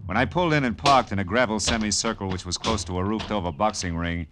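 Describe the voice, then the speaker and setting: An actor playing a tough, wry private detective, narrating and talking in clipped 1940s radio style. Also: male voice, lowish voice, fast, noisy background, close to an everyday voice. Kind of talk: 1940s hard-boiled radio narration and acting.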